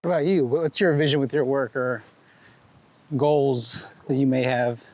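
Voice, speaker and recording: A man's voice: mid-range voice, measured pace, darkish tone. A man hosting a casual interview, putting conversational questions to his guest. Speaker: interviewer and host.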